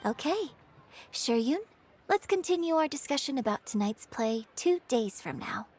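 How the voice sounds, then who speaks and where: A woman playing a poised, polite and quietly cunning young opera performer in a video game. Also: high voice, expressive, measured pace, slight background noise. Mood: gracious. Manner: composed, courteous, feigned innocence. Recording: background music under dialogue.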